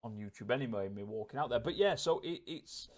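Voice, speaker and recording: A man with a mid-pitched voice records a casual, self-reflective vlog. He speaks hesitantly, rambling and doubling back on his words as he works out his thoughts. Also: animated, a little fast. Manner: hesitant, rambling, repeats words while thinking aloud. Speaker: vlogger.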